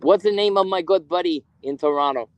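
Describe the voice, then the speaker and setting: A man hosting a live ghost hunt in a cemetery at night, speaking haltingly with many fillers as he calls out to spirits. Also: high voice, clear, resonant tone, no echo. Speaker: ghost hunter streaming live. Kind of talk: live paranormal investigation stream.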